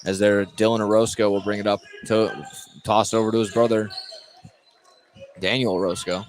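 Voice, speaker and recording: Male play-by-play radio caller, lowish voice, stop-start delivery with repeats, faint venue noise. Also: little pitch movement. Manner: halting, repeats and corrects himself mid-call. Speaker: play-by-play commentator. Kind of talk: live high school basketball radio commentary. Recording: noisy live courtside radio broadcast.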